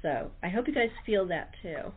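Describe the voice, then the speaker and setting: A woman's voice: lowish voice, animated, normal speed, breathy edge. A woman hosting an unscripted group chat, speaking in a rambling way and often restarting her own sentences. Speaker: talk show host. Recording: tinny narrow phone line.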